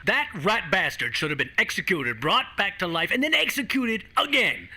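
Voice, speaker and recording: An actor playing a bitter, vehement shock-jock co-host ranting on a radio show in an audio drama. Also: male voice, high voice, sing-song, moderate pace, bright, forceful tone, full character voice. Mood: angry, bitter. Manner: vehement, foul-mouthed.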